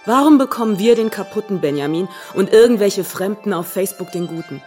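An actress playing a frustrated wife in a radio play, pressing and reproachful. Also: female voice, mid-range voice, animated, a little fast, a little mellow, faint music behind, close to an everyday voice. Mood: hurt, angry. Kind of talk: radio drama acting.

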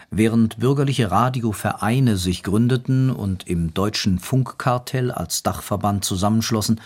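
A man's voice: fairly low pitch, darkish tone. A man delivering scripted narration for a historical radio documentary.

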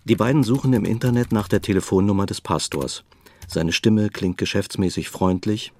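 A man's voice: on the low side, normal speed, darkish tone. A man narrating a scripted radio play as its storyteller.

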